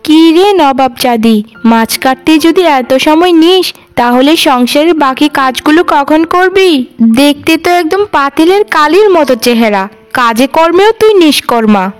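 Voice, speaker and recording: A woman's voice: high voice, lively intonation, unhurried. A woman voicing a cruel, scornful sister-in-law in a cartoon, nagging and taunting sharply, with music underneath. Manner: sharp-tongued scolding, taunting. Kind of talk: animated cartoon drama voice.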